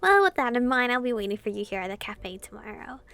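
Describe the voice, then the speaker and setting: Flirtatious demon king, read by a woman: light, conversational, highish with vocal fry, visual novel dialogue. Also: sing-song, fast, fairly bright tone, soft background music, exaggerated voice. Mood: teasing. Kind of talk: reading translated visual novel dialogue aloud.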